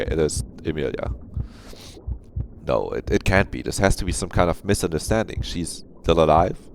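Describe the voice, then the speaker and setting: A man's voice: low voice, slight background noise. A man streaming a visual novel, reading it aloud expressively and breaking off for casual asides. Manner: dramatic, expressive reading, swears freely.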